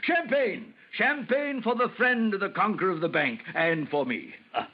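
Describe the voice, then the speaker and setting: An actor playing an effusive old French soldier, gallant and slyly sinister, in florid vintage radio horror style. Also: male voice, high voice, expressive, normal speed. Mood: effusive, jovial. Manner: florid, exclamatory, gushing with chuckles. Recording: muffled, noisy old radio recording.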